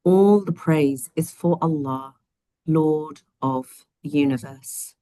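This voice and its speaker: A woman hosting and translating in an online study session, talking in a gentle, chatty way with many fillers, her voice a little croaky. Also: deep voice, slow pace, no echo. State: slightly croaky voice. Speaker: host. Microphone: video call.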